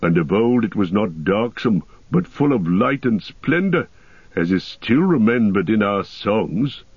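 Guy as proud old-lineage dwarf in an audiobook, deep-ish, stately rhythm, dry muffled sound. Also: big pitch swings, measured pace, breathy edge, dry recording, exaggerated voice. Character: proud, solemn male dwarf of ancient lineage. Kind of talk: chanted verse in fantasy audiobook.

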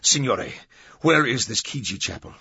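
A man's voice: mid-range voice, expressive, bright, forceful tone, breathy edge, no room sound, lo-fi sound, voice pushed up, heavily acted. A man voicing a commanding, insistent woman in an audiobook. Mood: pressing. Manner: brisk, insistent. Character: urgent, commanding young Italian woman.

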